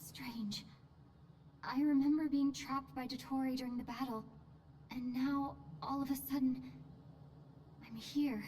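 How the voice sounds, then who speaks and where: A woman's voice: high-pitched, fairly even intonation, unhurried, long pauses, darkish tone, slight background noise. A woman voicing a puzzled, earnest young video game adventurer in a hesitant, musing manner.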